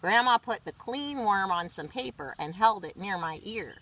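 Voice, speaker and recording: A woman reading a children's picture book aloud expressively, pausing for chatty explanatory asides. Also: medium pitch, animated, pushed and bright, low-quality recording. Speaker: read-aloud storyteller.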